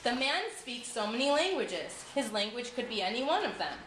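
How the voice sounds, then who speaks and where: A woman's voice: fairly high pitch, sing-song, normal speed, fairly bright tone. A woman voicing a curious, uneasy king in a storybook tale, questioning insistently. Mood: eager, doubtful. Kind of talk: storybook character voice. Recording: echoey room with clear background noise.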